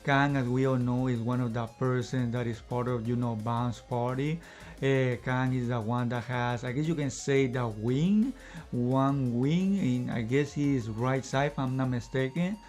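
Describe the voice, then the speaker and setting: A man's voice: medium pitch, darkish tone, soft background music. Rambling man, a bit out of breath. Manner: rambling, fills pauses with "you know" and "I guess you can say". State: slightly out of breath. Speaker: anime reviewer. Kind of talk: casual unscripted review in one take.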